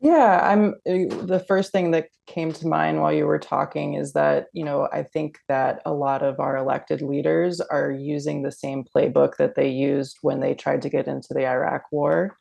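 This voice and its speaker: A woman activist guest speaking in an interview, thoughtful, often pausing with "um" and "you know". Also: deep voice, steady tone, creaky, mellow. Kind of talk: casual interview talk.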